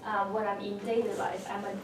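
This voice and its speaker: A woman with a Chinese accent gives earnest advice on a university panel, her delivery level and unvaried. She sounds far from the mic. The room echoes, and noise is clearly audible under her voice. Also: mid-range voice, flat delivery, fairly quick. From China. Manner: earnest, with frequent "um"s.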